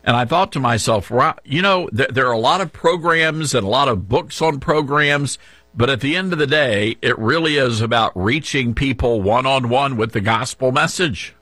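Bright-voiced male radio show host. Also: medium pitch, normal speed, no room sound. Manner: upbeat, conversational, joking. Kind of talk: radio show hosting and interview.

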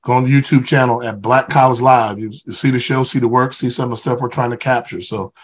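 A man's voice: mid-range voice, steady tone, quick pace, a little hiss or hum. Easygoing ex-athlete guest, middle-aged man. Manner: easygoing, reflective, lots of "you know". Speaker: guest, former football player. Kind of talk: casual interview chat.

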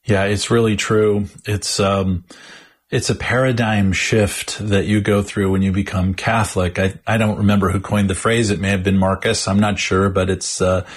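A man with a slightly husky voice talks through a topic on a podcast. He explains carefully and thoughtfully, often restarting a phrase as he works out his point. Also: lowish voice, level tone, normal speed. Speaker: podcast co-host. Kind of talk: casual theological discussion.

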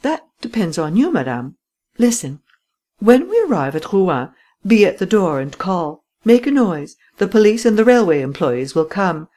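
A woman reading an audiobook voices a clever gentleman thief who gives brisk, imperious instructions in a slightly raised voice. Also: mid-range voice, very animated, voice pushed up, close to an everyday voice. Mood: urgent. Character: cool, commanding gentleman thief, clever and persuasive.

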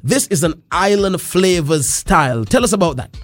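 High-voiced playful male radio host. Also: lively intonation, moderate pace, no echo, studio quality, faint music behind. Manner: playful, laughs often, repeats phrases. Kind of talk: casual radio interview.

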